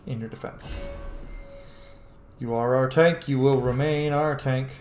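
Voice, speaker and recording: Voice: medium pitch, steady tone, unhurried, a little mellow, faint music behind. A video game streamer giving casual let's play commentary, playful and sweary, often thinking aloud. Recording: echoey room with faint noise.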